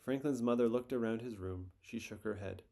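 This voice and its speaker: A man reading a children's picture book aloud in a gentle, expressive storytelling manner. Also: medium pitch, a little fast, gravelly.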